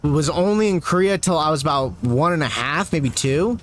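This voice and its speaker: A man in his thirties talks through a live game stream with a lively, bouncing delivery, his voice sitting a touch higher than most men's. He chats freely with viewers, pokes fun at himself and yelps at whatever the game throws at him. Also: normal speed, a little hiss or hum. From United States. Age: 30s. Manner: animated, self-mocking, reacts loudly to game enemies. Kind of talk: live gameplay commentary, chatting with viewers.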